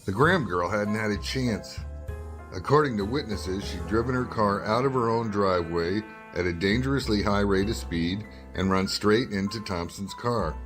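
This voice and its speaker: An actor playing a sardonic, grumbling, world-weary night reporter in a 1950s-style radio drama. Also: male voice, lowish voice, normal speed, fairly bright tone, faint music behind, close to an everyday voice. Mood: wry, world-weary. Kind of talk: 1950s radio detective drama narration and dialogue.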